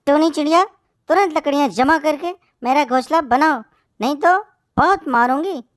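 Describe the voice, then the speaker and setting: Woman voicing a high, insistent cartoon bird. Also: moderate pace, no room sound, clean studio sound, natural voice. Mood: bossy, threatening. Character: bossy, demanding female bird. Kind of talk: Hindi cartoon story voice acting.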